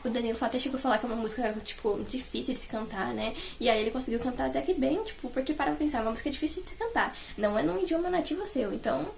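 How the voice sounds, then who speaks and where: High, fast-talking young Brazilian woman. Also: creaky. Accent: Brazilian Portuguese. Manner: gushing, animated fan talk. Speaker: fan vlogger. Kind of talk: casual chat recounting favourite moments.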